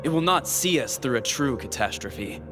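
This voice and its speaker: A man's voice: mid-range voice, animated, normal speed, over music, close to an everyday voice. A man voicing a proud, resolute heroic leader in an anime-style video game, grave and determined. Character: resolute, proud male hero leader bargaining for power.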